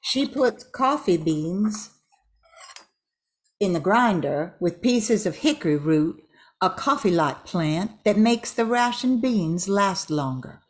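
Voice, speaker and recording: A woman's voice: mid-range voice, lively intonation, slow pace. An older woman reading scripted narration for an amateur radio play.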